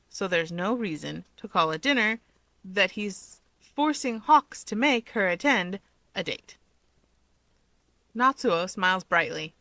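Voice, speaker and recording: Bright-voiced woman narrating fiction. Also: medium pitch, no echo. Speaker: story narrator. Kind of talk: expressive scripted fiction narration.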